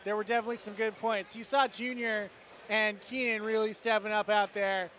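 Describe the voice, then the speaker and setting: A man's voice: high-pitched, little pitch movement, brisk, fairly bright tone. An American man giving conversational basketball analysis as a co-commentator, with gym noise behind him. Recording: noisy gym ambience behind the voice.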